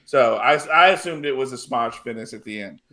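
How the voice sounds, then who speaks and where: A man hosting a casual wrestling panel discussion, talking in a halting, conversational way and often repeating himself. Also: mid-range voice, animated, a crowd in the background. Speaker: wrestling podcast host.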